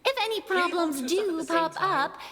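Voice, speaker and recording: A woman's voice: very high-pitched, lively intonation, measured pace, crowd noise. A woman voicing a proud, anxious young god of justice in a video game, with a theatrical, emphatic delivery. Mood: insistent, defensive. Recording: loud game music behind the voice.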